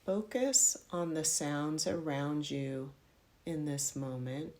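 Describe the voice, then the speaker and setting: A woman guiding a yoga nidra meditation in a measured, instructional way, offering gentle directions. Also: deep voice. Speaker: meditation guide.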